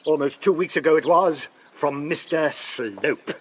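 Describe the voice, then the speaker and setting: An actor playing a proud, blustering English archdeacon in a period radio drama, pompous and emphatic in manner. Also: male voice, a little high, measured pace, slight background noise, lightly acted. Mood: indignant, scornful.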